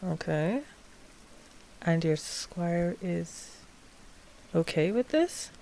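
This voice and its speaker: Casting: actress as female vampire sire for audio roleplay. Courteous, flustered, formal, slightly low voice over background noise. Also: expressive, gravelly, warm tone, heavily acted. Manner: formal, hesitant, halting. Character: frazzled female vampire coven sire, polite and hesitant. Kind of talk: scripted audio roleplay drama.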